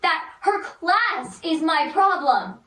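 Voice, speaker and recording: A woman playing a bullied teenage girl pleading with her counselor in a hushed, breathy voice. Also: very high-pitched, very animated, slow pace, full character voice. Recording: roomy, from far off.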